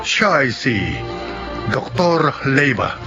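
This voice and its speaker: A man's voice: a little high, animated, slow pace. A man delivering scripted, dramatic narration as the announcer of a radio drama anthology. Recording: loud music bed behind voice.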